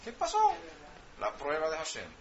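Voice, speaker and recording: A man's voice: on the high side, huge pitch swings, normal speed, slight background noise. A man whose first language is Swedish, preaching a sermon and pressing his point with rhetorical questions. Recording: dull lo-fi sound, faint ambience.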